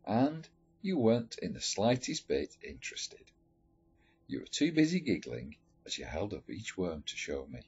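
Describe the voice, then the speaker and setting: A man reading a letter story aloud in a measured, expressive storytelling manner. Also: fairly low pitch, husky. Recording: dull lo-fi recording with music behind. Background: background music.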